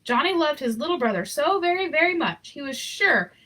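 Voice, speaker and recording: Lively higher-voiced woman reading a storybook aloud. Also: fairly quick. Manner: expressive, informal, chatty. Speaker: story reader. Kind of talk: read-aloud of a children's picture book with chatty asides.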